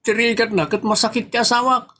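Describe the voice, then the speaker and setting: A woman's voice: on the high side, fairly even intonation, fairly quick, a bit bright. A woman voicing an exasperated, skeptical, worried husband in a comic audio drama, with an Ilocano accent and grumbling complaints. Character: exasperated, skeptical husband worried about his sick wife.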